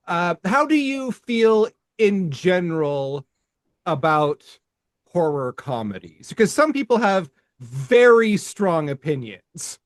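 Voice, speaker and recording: Podcast co-host, man, fairly high and very lively voice, quick to laugh, dry sound. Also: no room sound. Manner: laughs often, stammers on restarts. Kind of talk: casual conversation.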